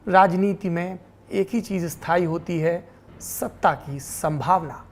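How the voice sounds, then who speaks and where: A woman's voice: lowish voice, unhurried, darkish tone, slight background noise. A woman presenting explanatory political news commentary as a news presenter.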